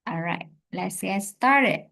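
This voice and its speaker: A woman teaching an English lesson on a live stream, speaking slowly and hesitantly with many fillers. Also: medium pitch, lively intonation, vocal fry, a bit bright. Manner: slow, hesitant, many fillers and self-corrections.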